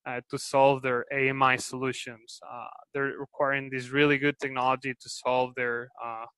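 Bright Spanish-accented man, halting. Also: medium pitch, flat delivery, clear, resonant tone, dry recording. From Spain. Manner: hesitant, many restarts and fillers. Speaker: guest, company executive. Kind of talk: podcast interview answers.

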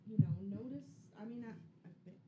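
A woman's voice: on the high side, a little fast, narrow, tinny audio. A woman chatting casually as a player in a tabletop game, speaking tentatively. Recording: echoey, noisy room.

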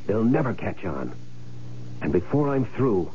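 An actor playing a brazen, confident schemer in an old-time radio drama. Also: male voice, on the low side, very animated, unhurried. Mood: defiant confidence. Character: brazen, confident male schemer bent on riches. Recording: very noisy, dull old radio recording.

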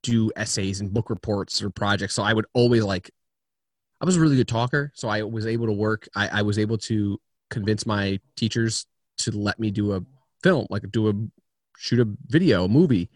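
A man with a fairly low voice, talking over a video call from home. He tells his stories in a chatty, animated way. The sound is dry and close, with no room echo. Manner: chatty storyteller, quick and animated. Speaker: interview guest, video agency founder. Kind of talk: casual remote interview.

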